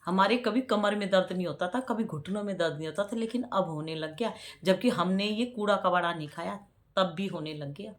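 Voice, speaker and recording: A woman's voice: mid-range voice, brisk. A woman in her forties talking casually to her subscribers, chatty and preachy, often repeating words for emphasis. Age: 40s. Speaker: vlogger.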